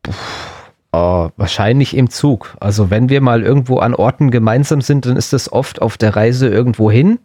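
A man's voice: mid-range voice, moderate pace, mellow, no room sound, studio quality. A man co-hosting a podcast in casual, conversational talk. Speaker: podcast co-host. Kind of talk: casual chat.

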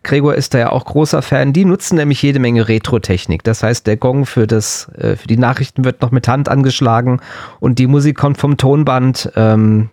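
A man's voice: medium pitch, moderate pace, darkish tone, no echo, clean studio sound. A man co-hosting a podcast in casual, conversational talk. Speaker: podcast co-host. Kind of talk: casual chat.

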